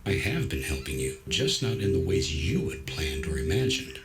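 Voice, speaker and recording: A man playing a calm, self-assured android who reasons in a measured, nonchalant, gently wry way in a science fiction audio story. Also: deep voice. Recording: music behind the voice.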